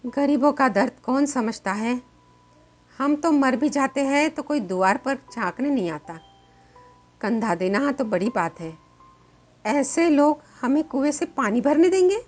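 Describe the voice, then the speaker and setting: A woman's voice: sits high, expressive, unhurried. A woman voicing a weary, resigned poor villager in a story, bitterly cautioning with rhetorical questions. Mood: bitter warning.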